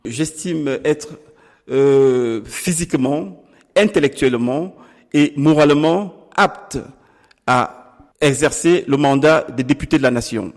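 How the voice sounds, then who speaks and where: A middle-aged man from Cameroon, a political candidate answering interview questions in a deliberate, formal way with pauses. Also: a little high, darkish tone, big-room sound. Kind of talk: measured campaign interview answers.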